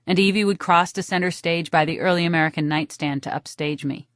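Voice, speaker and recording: A woman's voice: lowish voice, steady tone, brisk, fairly bright tone, no room sound, studio quality. A woman reading a first-person novel as an audiobook narrator, in a dramatic scripted style.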